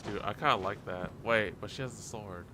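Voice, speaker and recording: A man's voice: lowish voice, brisk, background noise. A man hosting a gaming video with casual, slangy commentary, reacting aloud to the game. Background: game soundtrack.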